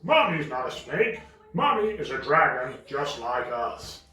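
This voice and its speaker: A man's voice: medium pitch, very animated, unhurried, bright, forceful tone. A man voicing a big, greedy, doting dragon dad in an improvised puppet show, hammy and relishing his words. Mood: fatherly. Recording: roomy, echoing, distant from the mic.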